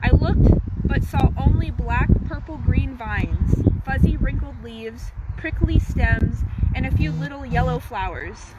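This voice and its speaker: Young female storybook narrator, high-pitched, on a very noisy outdoor recording. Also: animated, a little slow, dull audio. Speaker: intern reading a story. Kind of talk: children's picture-book narration read aloud.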